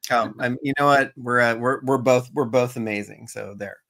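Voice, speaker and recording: A man's voice: medium pitch, fairly even intonation, normal speed, vocal fry, fairly bright tone. A man co-hosting a toy news show in casual chat, easygoing and chatty, laughing along.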